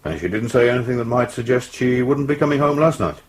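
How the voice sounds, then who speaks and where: An actor playing a hesitant, placating English police sergeant who stammers a little in a radio drama. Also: male voice, medium pitch, lively intonation, fast, natural voice. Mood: patient, probing. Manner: stammering, hesitant, patiently reassuring.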